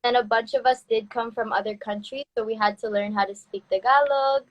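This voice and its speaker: A woman's voice: fairly high pitch, fairly even intonation, brisk, muffled recording. A young woman who grew up in Canada, chatting casually as host of a phone live stream and laughing between words. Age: young adult. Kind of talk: casual chat. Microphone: phone on a video live stream.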